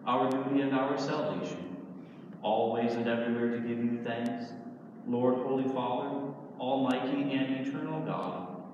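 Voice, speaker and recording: A man serving as priest, reciting liturgical prayers in a slow, solemn way. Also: medium pitch, a little mellow, a little hiss or hum. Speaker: priest celebrating Mass. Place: large church sanctuary. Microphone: far camera. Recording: echoey church from far off, muffled.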